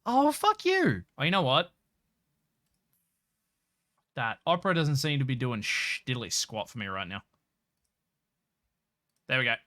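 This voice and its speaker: A woman streamer with a bright, forward voice chats casually as she plays. She swears freely and repeats phrases, recorded dry and close. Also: very deep voice, sing-song, a little slow, long pauses, no echo. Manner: profane, repetitive, self-narrating. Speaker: game streamer. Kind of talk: casual livestream commentary.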